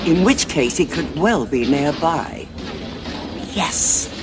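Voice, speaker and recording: A cool, scheming Time Lord leader, slow and knowingly sly. Her voice is very low for a woman, with an expressive, rolling range. Music plays behind her. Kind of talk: audio drama acting.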